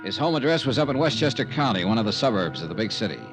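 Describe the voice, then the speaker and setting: A man's voice: medium pitch, big pitch swings, rapid-fire, close to an everyday voice. An American man playing a cool, tough insurance investigator in a 1950s radio drama, terse and matter-of-fact. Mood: narrating. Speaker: lead actor and narrator. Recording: vintage radio broadcast with music.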